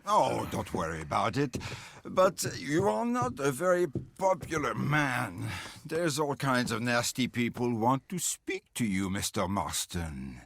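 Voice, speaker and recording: An actor playing a sly, pompous older French politician in a video game, with a French accent and a drily ironic, theatrical delivery. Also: male voice, medium pitch, big pitch swings, unhurried, vocal fry, a bit bright, exaggerated voice. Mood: sly politeness. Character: wry, pragmatic older French male politician, grandiose and sly. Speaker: mayor. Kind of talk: video game cutscene acting.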